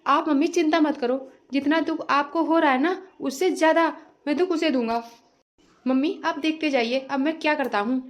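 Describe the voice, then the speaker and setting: A woman's voice: high voice, normal speed, natural voice. A woman voicing a scheming young man who taunts his new wife and her family. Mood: smug. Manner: smug, taunting.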